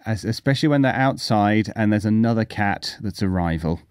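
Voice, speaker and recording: A man's voice: lowish voice, expressive, a little fast, a little mellow, clean tone, no room sound, clean studio sound. A man from the UK with a British accent, chatting casually as a podcast co-host. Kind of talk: casual co-hosted chat.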